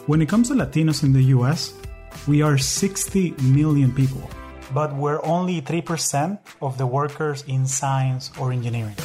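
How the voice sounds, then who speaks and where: A man from Venezuela, hosting a podcast interview in an earnest, measured way with some halting restarts. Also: fairly high pitch, mellow, faint music behind. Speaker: podcast host.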